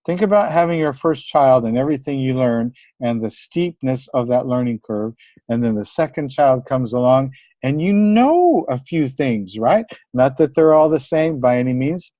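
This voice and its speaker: A man's voice: mid-range voice, warm tone, no room sound. A middle-aged man, a language teacher, giving an encouraging, conversational webinar presentation with the occasional filler. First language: English.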